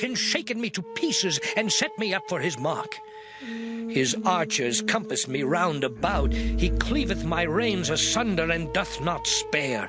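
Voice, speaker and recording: An actor playing an anguished, suffering man lamenting in a dramatized Bible audio drama, declaiming mournfully in old King James English. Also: high-pitched. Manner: declamatory, mournful, sweeping delivery with long pauses. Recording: music behind the voice. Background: dramatic music.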